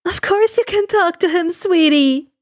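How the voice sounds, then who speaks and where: A woman voicing an indignant motherly woman from an animated-style fan story, by turns scolding and sweet. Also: extremely high pitch, measured pace, dry recording, studio quality, pitched above the performer's own voice. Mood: sweet, reassuring.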